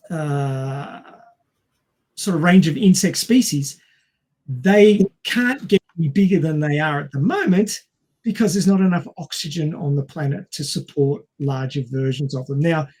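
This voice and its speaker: A man's voice: on the high side, darkish tone, slightly rough. A man co-hosting a podcast, explaining science informally in a didactic manner. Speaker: podcast co-host.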